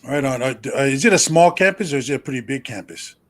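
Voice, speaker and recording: A man's voice: mid-range voice, expressive, fast. A man hosting an interview, affable and reflective, who often checks in with "right?" as he makes his points. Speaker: interview host. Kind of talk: conversational interview.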